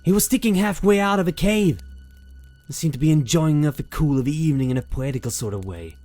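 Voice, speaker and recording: A man's voice: a little high, animated, quick pace, mellow, close to an everyday voice. Man playing a nervous rustic shepherd father. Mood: nervous, wondering. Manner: rambling, folksy country speech. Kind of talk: amateur audiobook drama acting.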